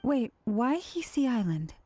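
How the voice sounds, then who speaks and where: A woman's voice: on the high side, very animated, unhurried, mellow, soft background music. A woman playing a poised, dutiful guardian in a video game, speaking with composed, earnest delivery. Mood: thoughtful.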